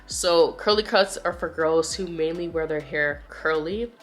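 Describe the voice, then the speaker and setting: Woman, low pitch, slightly nasal cold voice, casual explaining vlog narration, faint background music. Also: a little slow. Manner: chatty, explanatory, addresses viewers as you guys. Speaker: beauty vlogger.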